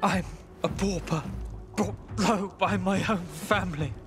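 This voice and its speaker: A man's voice: high voice, steady tone, a little slow, music in the background. A man voicing a melodramatic, self-pitying storyteller in a video game, theatrical and lamenting. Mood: dramatic lament. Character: melodramatic, self-pitying male storyteller, wronged by his kin.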